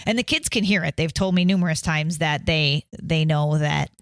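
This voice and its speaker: A woman's voice: low-pitched, moderate pace, dry recording, studio quality. A woman telling candid stories in a casual podcast chat as a guest band mom. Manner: chatty, candid storytelling.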